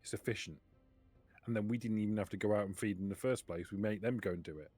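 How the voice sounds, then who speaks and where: A man plays a tabletop roleplaying game. His voice is very low-pitched. He talks casually in character with the others at the table. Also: fast, darkish tone, husky, no echo, soft background music.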